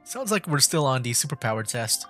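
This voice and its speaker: A man's voice: mid-range voice, very animated, fairly quick, bright, forceful tone, faint music behind. A man doing casual livestream game commentary in an informal, jokey way. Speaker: streamer.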